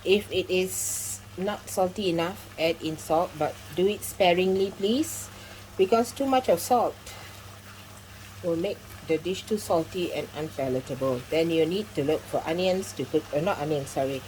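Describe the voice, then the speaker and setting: A middle-aged woman with a notably low voice walks viewers through a recipe in her home kitchen. She explains each step in a chatty, instructive way and adds friendly asides. A faint sizzle of frying food sits behind her. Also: normal speed, a little mellow, a little hiss or hum, poor audio. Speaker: cooking channel host. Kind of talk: home cooking demonstration.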